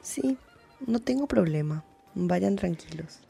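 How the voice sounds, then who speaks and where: A woman's voice: on the low side, expressive, slow pace, gravelly, dark tone, slightly rough, heavily acted. A woman playing a polite, hesitant nineteen-year-old babysitter in a radio drama. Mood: polite, reassuring.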